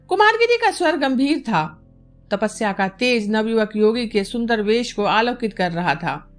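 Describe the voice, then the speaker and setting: A woman reads a literary novel as an audiobook narrator, in measured, formal diction. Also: on the high side. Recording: music bed behind the voice. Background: instrumental music.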